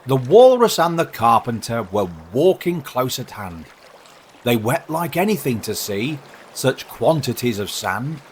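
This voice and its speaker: A man reading narrative verse aloud for children as a storyteller, expressive, measured and dramatic. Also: mid-range voice, slight background noise.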